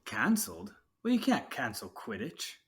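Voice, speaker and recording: A woman's voice: a bass voice, wildly swooping pitch, normal speed, breathy edge, full character voice. A woman playing a confident, emphatic teenage sports captain in an audio drama. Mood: dismayed. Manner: emphatic pep talk. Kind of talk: fan audio drama acting.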